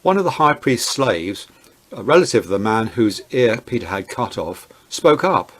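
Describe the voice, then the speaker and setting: Man narrating scripture with lively pitch. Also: normal speed. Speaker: narrator, Bible reader.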